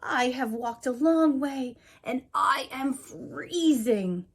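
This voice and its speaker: A woman's voice: high-pitched, animated, slow pace, breathy edge, pitched above the performer's own voice, exaggerated voice. A woman voicing a freezing, weary traveler from a children's storybook, sounding plaintive. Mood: cold, pleading.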